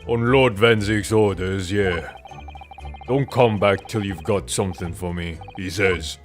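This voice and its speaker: A man voicing a weary, put-upon working-class British police inspector in a video game, gruff and grumbling. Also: low voice, unhurried, faint music behind.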